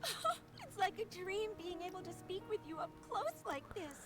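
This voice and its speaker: A woman's voice: very high-pitched, very animated, normal speed. A woman voicing a devout young believer, awestruck and tearful, who speaks in breathless, reverent tones. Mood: adoring. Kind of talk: game voice acting. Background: game music.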